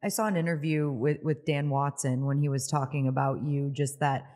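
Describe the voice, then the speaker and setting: A woman's voice: a bass voice, steady tone, normal speed, a little mellow. A woman co-hosting a sports podcast, asking long interview questions and often murmuring agreement. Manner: attentive, frequent backchannel agreement. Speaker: podcast co-host. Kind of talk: casual interview chat.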